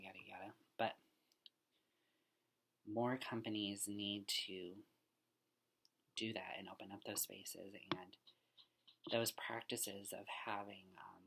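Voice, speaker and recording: A young man's voice sits very low as he talks through his thoughts on a book for class. He is earnest and unpolished, circling back over his words, and often drifts down into a breathy, half-whispered tone. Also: a bit bright, a little hiss or hum. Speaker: student. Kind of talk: casual spoken reflection on a book.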